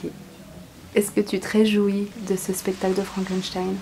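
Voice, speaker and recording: A woman's voice: mid-range voice, completely flat delivery, moderate pace, mellow, rough recording. Woman interviewer over faint background noise. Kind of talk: informal interview questions. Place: musical theatre school after rehearsal.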